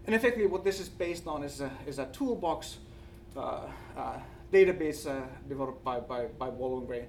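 A man with a Finnish accent gives an academic presentation, speaking haltingly and searching for words. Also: medium pitch, a little mellow. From Finland. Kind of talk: conference presentation with slides. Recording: distant mic, faint background noise.

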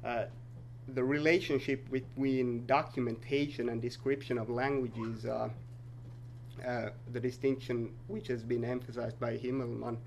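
A man's voice: mid-range voice, flat delivery, vocal fry, a little hiss or hum. Hesitant male academic presenter, native Sami speaker. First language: North Sami. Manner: measured, hesitant, many filler pauses. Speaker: conference presenter. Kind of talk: academic conference talk.

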